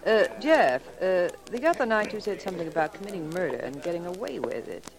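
Arch society wife, lively intonation. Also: female voice, on the low side, brisk, a crowd in the background. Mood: teasing. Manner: arch, sardonic. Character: dry, teasing, sophisticated society wife. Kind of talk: 1940s radio drama acting. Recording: old radio recording, busy background.

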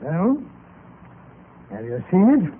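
A man playing an earnest gentleman companion in an old radio mystery, speaking emphatically and theatrically. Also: a little high, wildly swooping pitch, takes it slowly, heavily acted. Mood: urgent, grim. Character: earnest, dramatic gentleman friend of the narrator. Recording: muffled, noisy old radio recording.

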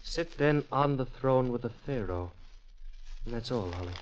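A man acting as an eager, obsessed young gentleman adventurer, earnest and intense, in old-time radio drama style. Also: mid-range voice, expressive, unhurried, slight background noise, close to an everyday voice. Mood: solemn. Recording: dull vintage radio recording.

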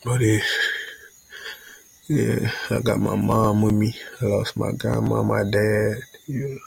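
A man's voice: lowish voice, little pitch movement, takes it slowly, vocal fry, a little mellow, breathy edge, no echo. A young man from Jamaica hosting a casual solo podcast intro in a laid-back, rambling way, often saying "you know what I'm saying". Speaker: podcast host.

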